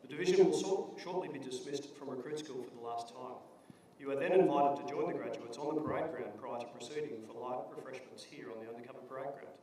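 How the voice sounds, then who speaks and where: A man's voice: medium pitch, fast, few pauses, dark tone. A man making formal announcements as the master of ceremonies at a graduation parade. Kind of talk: formal public address. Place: covered parade ground. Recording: echoey, distant PA with faint noise.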